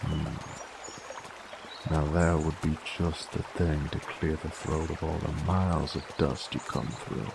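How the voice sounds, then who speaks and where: A man playing a gruff, teasing werewolf bounty hunter in a Western audio drama, with a folksy American Western drawl and a gravelly voice. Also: a bass voice, flat delivery, over music, heavily acted. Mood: musing, weary. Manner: folksy, drawling, slow and wry. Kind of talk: scripted roleplay audio drama monologue.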